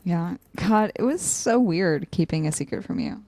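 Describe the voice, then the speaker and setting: A woman's voice: lowish voice, wildly swooping pitch, moderate pace, a little mellow, no echo, heavily acted. A woman playing an earnest, conflicted, loyal young student in a naturalistic audio drama, a little hesitant. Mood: relieved, casual.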